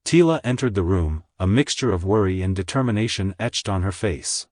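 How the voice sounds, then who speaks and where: A man's voice: low-pitched, expressive, a little fast, darkish tone, dry recording, studio quality. A man reading scripted story narration as a storyteller.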